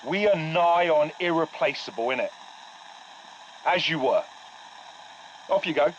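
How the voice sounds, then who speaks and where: An actor with an English accent playing a blunt, proud military mechanics boss in a video game, giving a slangy, rousing speech. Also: male voice, fairly high pitch, expressive, a little slow. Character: gruff, proud male military mechanics boss rallying his crew. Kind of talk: game audio log, military pep talk. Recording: dull radio-like audio, faint noise.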